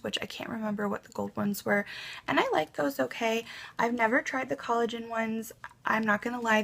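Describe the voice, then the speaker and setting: A young woman talks casually in her bedroom, her voice gravelly and a little froggy from a cold. She sits a touch high for a woman and fills her chat with ums and likes, sometimes dropping to a soft aside. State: slightly hoarse from a cold. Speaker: beauty vlogger. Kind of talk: casual haul chat to camera. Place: bedroom at home.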